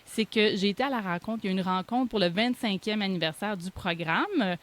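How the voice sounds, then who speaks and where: A woman's voice: mid-range voice, brisk. A woman with a Quebec French accent, hosting an interview in a casual, conversational way. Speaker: interviewer, host.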